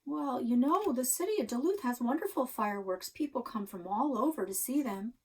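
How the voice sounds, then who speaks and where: A woman voicing an earnest, easygoing, soft-hearted father who is easily swayed, in a storybook. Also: a little high, lively intonation, brisk, husky, voice pushed up.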